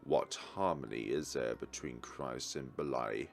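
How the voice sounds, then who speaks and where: A man voicing an ominous hooded figure from a visual novel, a solemn and cryptic prophet speaking slowly and portentously. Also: extremely low pitch, vocal fry, faint music behind, pitched below the performer's own voice, exaggerated voice.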